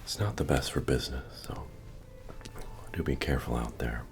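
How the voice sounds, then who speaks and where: A man playing a friendly, humble medieval innkeeper and retired adventurer in a soft-spoken, folksy ASMR fantasy roleplay, with hesitant murmuring. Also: moderate pace. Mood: folksy, cautioning. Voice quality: deep, gravelly, often breathy or whispered. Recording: music under the voice. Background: medieval bard music.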